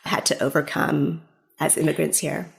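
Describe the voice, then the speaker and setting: A woman in her forties talks through her own story as a podcast guest. Her voice is low with a gravelly fry, and she muses aloud in a loose, conversational way, peppered with "like" and "you know". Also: level tone, normal speed. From United States. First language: Cantonese. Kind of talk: casual interview chat.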